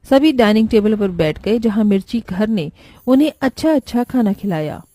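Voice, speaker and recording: A woman's voice: mid-range voice, expressive, normal speed, warm tone, no room sound. A woman narrating a scripted children's story.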